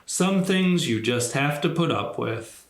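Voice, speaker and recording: Wise, fatherly storybook elephant male reader, deliberate and firm, animated pitch, echoey room. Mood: patient, firm. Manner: deliberate, reasoning step by step. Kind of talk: children's storybook read aloud.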